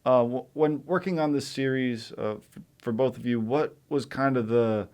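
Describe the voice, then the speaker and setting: Man interviewing conversationally, with halting phrasing. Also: mid-range voice, darkish tone. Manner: halting, repeats words while framing questions. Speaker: radio interviewer, news director.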